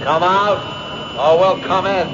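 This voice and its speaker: A stern cop warning the suspect. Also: male voice, sits high, steady tone. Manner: slow, deliberate warnings. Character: stern male police officer on a bullhorn. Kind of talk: 1970s radio drama acting. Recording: muffled old radio broadcast with hiss.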